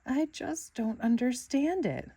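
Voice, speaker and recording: A woman voicing a weary, anxious female cartoon boating-school teacher in a storybook, with a hesitant, measured delivery. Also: sits high, animated, mellow, husky, dry recording, voice pushed up, full character voice. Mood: bewildered.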